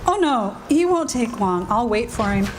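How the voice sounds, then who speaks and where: A woman's voice: fairly high pitch, big pitch swings, moderate pace, slight background noise. A woman playing a proper, defiant lady in peril in an old-time radio drama, with an insistent, melodramatic delivery. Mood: polite, wary. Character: alarmed, defiant young lady trapped by a villain. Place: live performance in a café.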